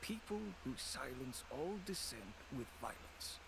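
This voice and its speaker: An actor playing a cautious, pragmatic middle-aged shop owner and father who speaks in a measured, advising way. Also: mid-range voice, animated, background noise, lightly acted. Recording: game audio with background ambience.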